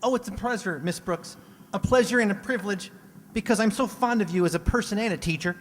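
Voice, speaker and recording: Man as gushing teenage boy, very high male pitch, old-time radio comedy, echoey hall, faint noise. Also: fast talker, lightly acted. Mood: eager, gushing. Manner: earnest, gushing. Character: eager, talkative teenage high school boy. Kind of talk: 1940s radio comedy acting.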